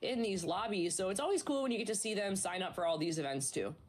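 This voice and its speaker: A woman's voice: medium pitch, lively intonation, fast talker. A woman casting an esports stream, chatting in a bubbly, upbeat way with her co-caster. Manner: bubbly, chatty, promotes merch. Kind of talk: casual esports stream commentary.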